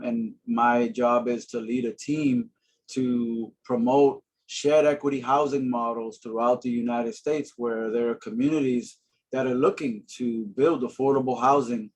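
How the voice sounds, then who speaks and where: A man in his forties, from Boston, speaks on a webinar over a video call. His voice sits at an ordinary pitch, and his delivery is earnest and friendly, with frequent ums and uhs. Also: slight background noise, low-quality recording. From Boston, Massachusetts. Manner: earnest, friendly, many fillers. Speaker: housing panelist. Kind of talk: webinar presentation.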